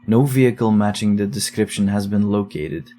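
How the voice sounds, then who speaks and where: A man's voice: lowish voice, steady tone, moderate pace, mellow, natural voice. A man playing a reluctant investigator who reads eerie case files aloud in an audio drama, then argues warily with a friend. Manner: reluctant, wary, exasperated in banter. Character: young man digging through eerie case recordings. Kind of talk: audio drama narration and dialogue.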